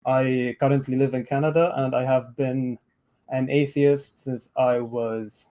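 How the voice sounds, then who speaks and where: A man of thirty-one from Lebanon, speaking conversationally as a guest in a debate call, often pausing mid-sentence. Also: mid-range voice, steady tone, mellow. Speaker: guest caller, atheist debater.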